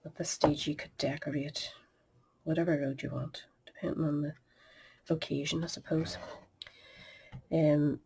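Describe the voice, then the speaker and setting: A woman's voice: deep voice, dark tone, slight background noise. A sniffly middle-aged woman, chatting through a step-by-step card-making demonstration and muttering asides under her breath. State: sniffly with a cold. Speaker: craft tutorial host.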